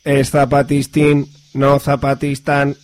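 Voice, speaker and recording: A man playing a curt, pedantic Martian crewman in a comic radio serial, deadpan and robotic in manner. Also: mid-range voice, slow.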